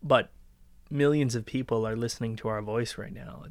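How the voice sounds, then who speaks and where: A man's voice: mid-range voice, fairly even intonation, normal speed, no echo. A man chatting casually as a podcast guest, with a laid-back, joking way of talking. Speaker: podcast guest, host's brother. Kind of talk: casual chat.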